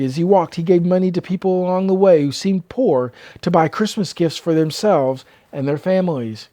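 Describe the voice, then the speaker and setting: An older man narrating a children's storybook as storyteller, with expressive, measured read-aloud delivery. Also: on the high side, dry recording.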